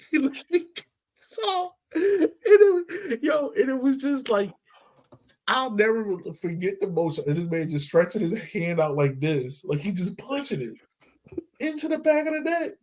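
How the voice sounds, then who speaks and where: A man's voice: very high-pitched, animated, unhurried, a little mellow. A man co-hosting a casual sports podcast, talking loosely and filling his points with "like" and "right?". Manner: laid-back, chatty, lots of "like" and "right?". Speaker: podcast co-host.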